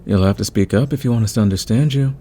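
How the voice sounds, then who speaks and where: A man playing a calm, friendly veteran thief in an ASMR audio roleplay, speaking in a patient, soothing, conversational way. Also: fairly low pitch, quick pace, a little hiss or hum, lightly acted. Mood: friendly, easygoing. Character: calm, friendly veteran male thief smoothing over team tensions.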